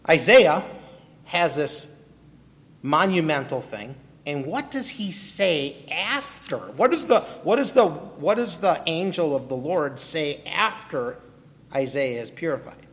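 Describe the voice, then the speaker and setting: A man's voice: a little high, lively intonation, slow pace, fairly bright tone. A man from Milwaukee preaching a sermon with a personal testimony, putting questions to the listeners and calling on them by name. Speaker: pastor. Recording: long hall reverb.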